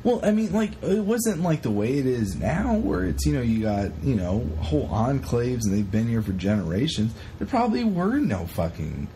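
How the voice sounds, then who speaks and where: A man co-hosting a podcast, chatting casually in an animated, rambling, sweary way as he retells stories. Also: medium pitch, normal speed, few pauses, background noise. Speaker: podcast co-host. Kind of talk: casual chat retelling a historical story.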